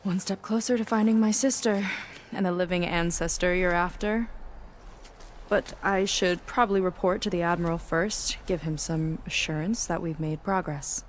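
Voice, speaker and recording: A woman's voice: lowish voice, fairly even intonation, normal speed, slight background noise, natural voice. An actress playing an earnest, guarded young seafaring woman in a video game cutscene, speaking conversationally. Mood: relieved, friendly.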